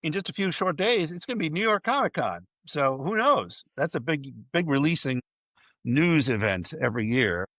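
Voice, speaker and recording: Lively, playful man hosting a fan podcast. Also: on the high side, normal speed, no echo, poor audio. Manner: playful, enthusiastic, wry asides. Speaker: podcast host presenting a news segment. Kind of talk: lively fan news presentation, partly scripted.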